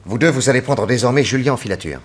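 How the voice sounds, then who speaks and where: An actor playing a confident, bold detective chief who reasons deliberately in a French radio drama. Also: male voice, mid-range voice, expressive, slightly rough. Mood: calm deduction. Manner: deliberate, reasoning, self-assured.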